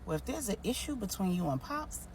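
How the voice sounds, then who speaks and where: A woman voicing a gentle, questioning pregnant mother in a story, speaking softly. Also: medium pitch, very animated, fairly quick, slight background noise, poor audio. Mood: probing.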